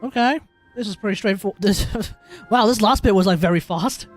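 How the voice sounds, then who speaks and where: A woman's voice: mid-range voice, brisk, a little mellow, soft background music. A woman giving casual live reactions as she streams a video game, with noise and clicking behind her. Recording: clear background noise, clicks.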